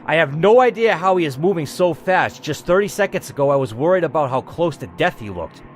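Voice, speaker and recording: Male gaming host, lively and slightly high-voiced, dramatic story narration mixed with sweary off-the-cuff commentary. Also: a little fast, a little hiss or hum. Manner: theatrical, expressive reading with blunt, sweary asides. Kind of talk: dramatic narration of a text adventure with casual asides.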